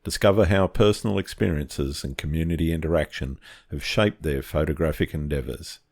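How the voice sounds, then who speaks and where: A man hosting an interview podcast, reading a scripted intro and then asking relaxed questions. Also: a bass voice, fairly quick. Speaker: podcast host.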